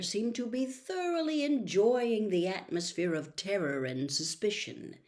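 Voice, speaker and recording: A woman narrating a storybook as an audiobook reader, with measured, deliberate and dramatic phrasing. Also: medium pitch, darkish tone. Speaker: audiobook reader, host of the show.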